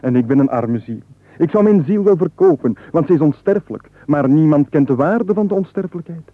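High-voiced flowery chatterbox, thin radio sound. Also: male voice, lively intonation, fairly quick, dry recording. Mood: glib, rambling. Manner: flowery, wordy, mock-deferential. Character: penniless wily young squire, an unstoppable babbler. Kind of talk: comic radio play acting. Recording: muffled narrow-band 1980s radio recording.

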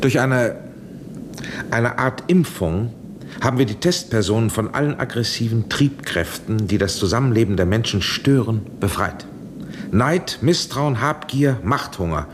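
An agonized professor in a German radio drama from the 1970s, played by a male actor. He is driven and self-justifying, pleading urgently, with a lively swing in pitch. Faint noise sits behind the voice. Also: unhurried, close to an everyday voice. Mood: grave, explaining.

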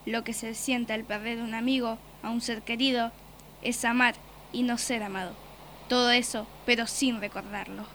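A woman playing a menacing, mocking beast in a radio drama, with a forceful, biting voice and a taunting, commanding manner. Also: a little high, fairly even intonation, moderate pace, slight background noise. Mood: grim, scornful. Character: menacing beast, servant of the Nothing, mocking predator.

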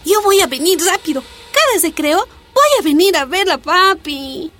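A woman's voice: very high voice, lively intonation, normal speed, bright, forceful tone, exaggerated voice. An actress playing an earnest, anxious young girl with a Bolivian accent in a radio soap opera. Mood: pleading, insistent.

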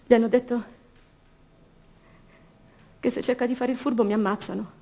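A woman's voice: on the high side, steady tone, normal speed, dark tone. An actress playing an anguished, defiant young woman held prisoner, in an intimate, bitterly ironic radio drama monologue. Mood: anguished resolve.

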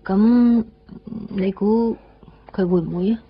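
An actress playing a tender, wistful young woman in a radio drama, speaking softly and sincerely with a Hong Kong Cantonese accent. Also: medium pitch, takes it slowly, slightly rough. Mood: gentle, musing. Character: tender young woman, heart-transplant survivor, newly in love. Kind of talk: radio drama monologue and dialogue. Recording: slightly muffled, faint music underneath.

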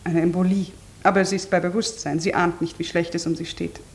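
A woman's voice: lowish voice, level tone, a little fast, close to an everyday voice. A woman acting as a hospital nurse in a radio play, businesslike and matter-of-fact.

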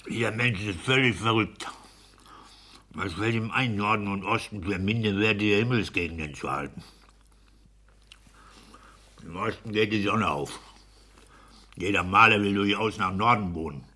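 An actor playing a pompous, domineering clerk and husband in a 1960s German radio play, scolding and self-satisfied. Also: male voice, fairly low pitch, normal speed, long pauses, sharp tone, husky. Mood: scolding, blustering.

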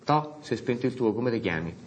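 A man's voice: mid-range voice, animated, a little fast, natural voice. Man acting a coldly menacing fascist. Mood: cold menace. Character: coldly menacing male fascist running a deadly game.

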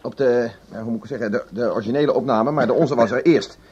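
A man's voice: medium pitch, quick pace, a little mellow, breathy edge, muffled recording. An actor playing a flustered, officious newsroom chief who fusses and repeats his words in a radio drama. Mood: fussy, explaining. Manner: hesitant, fussy, repeats words.

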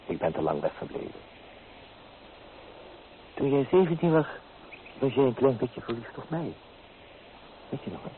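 An actor playing a teasing, free-spirited young painter in an old Dutch radio play, with theatrical delivery. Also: male voice, medium pitch, big pitch swings, normal speed, pauses often, natural voice. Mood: apologetic, gallant. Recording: muffled, noisy old radio recording.

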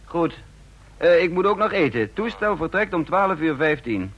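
An actor in a Dutch radio play voicing a methodical, brisk counterintelligence chief who explains deliberately, with small hesitations. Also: male voice, a little high, sing-song, a bit bright. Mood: brisk, commanding. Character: brisk, methodical male head of a counterintelligence service. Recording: muffled, dull radio play recording.

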